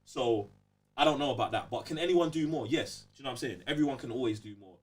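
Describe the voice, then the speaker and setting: A man's voice: medium pitch, quick pace, breathy edge, recorded from a distance. A young man with a London accent talking emphatically and in slang on a casual podcast, often checking that he's being followed. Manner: emphatic, slangy, repeats check-in tag phrases. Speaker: podcast host.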